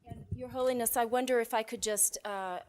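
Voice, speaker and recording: A woman's voice: on the high side, steady tone, brisk, darkish tone, slight background noise. Polite woman moderating a dialogue. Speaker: program host.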